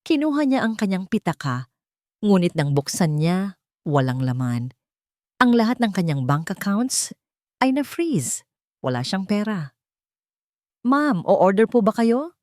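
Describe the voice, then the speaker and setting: Woman reading a sad drama story, lowish voice, dry close sound. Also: sing-song, unhurried, long pauses, a little mellow, no echo. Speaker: narrator. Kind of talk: scripted story narration.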